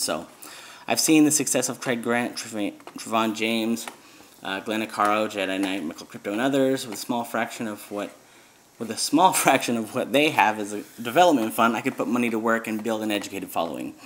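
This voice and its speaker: An American man who grew up abroad, talking casually in a rambling way with many fillers and restarts, as host of his own video. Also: fairly low pitch. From United States. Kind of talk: casual vlog talk.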